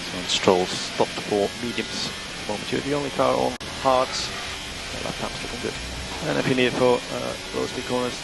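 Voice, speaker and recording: Deep-ish gravelly guy race engineer on team radio, calm factual callouts, repeats stuff, really loud engine noise. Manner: measured, matter-of-fact, repeats key instructions. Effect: team radio transmission. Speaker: Formula One race engineer. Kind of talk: team radio instructions to a driver during a race. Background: vehicle and engine noise.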